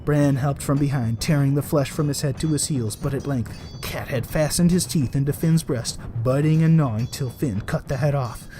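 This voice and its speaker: A man reading a folktale aloud as a storyteller, in expressive storybook narration. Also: mid-range voice, normal speed, hardly any pauses, a little hiss or hum. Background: added music.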